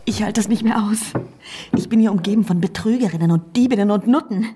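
An actress playing a desperate, pleading woman held on a murder charge, husky-voiced, in a German radio crime drama. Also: mid-range voice, fast talker, background noise, lightly acted.

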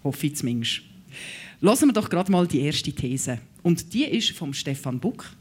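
A woman's voice: low-pitched, big pitch swings, moderate pace, husky. A woman with a Swiss German accent hosting an interview in a playful, jokey way. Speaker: radio host.

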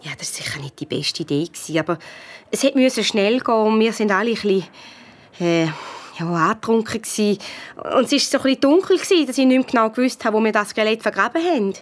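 A woman's voice: on the high side, animated, fast talker, lightly acted. A woman playing a biology teacher with a Swiss accent, evasive and sheepish, hesitant and self-justifying in a children's radio play. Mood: sheepish, confessing.

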